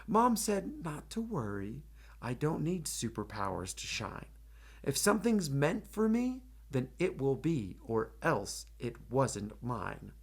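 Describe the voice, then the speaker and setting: A man's voice: mid-range voice, sing-song, slow pace, slightly rough, exaggerated voice. A man voicing a ten-year-old former superhero boy, wistful but determined, in a rhyming picture-book read-aloud. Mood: hopeful, resolved.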